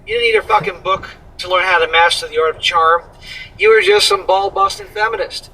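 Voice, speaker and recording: Bright-voiced blunt man, slightly off-mic. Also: high-pitched, steady tone, fairly quick, far from the mic, slight background noise, poor audio. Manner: blunt, profane, scolding. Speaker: commentary co-host reading an article. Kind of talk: casual reaction commentary.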